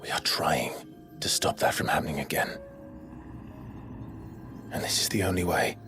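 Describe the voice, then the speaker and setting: A grave rebel leader from a fantasy game, with a very low voice. He is measured, stern and resolute, with music behind him. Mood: grave, insistent. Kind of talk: dramatic game dialogue.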